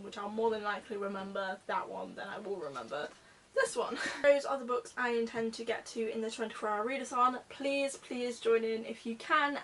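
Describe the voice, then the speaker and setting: A woman's voice: on the high side, distant mic, a little hiss or hum, low-quality recording. A woman book vlogger chatting casually to her viewers in a rambling, self-correcting way.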